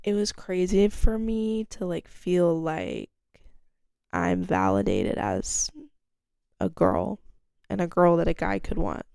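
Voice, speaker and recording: Giggly young woman with a gravelly voice. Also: expressive, slow, darkish tone, no echo, studio quality. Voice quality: gravelly low-toned voice. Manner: giggly, rambling, swears freely. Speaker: podcast host. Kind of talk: casual solo chat.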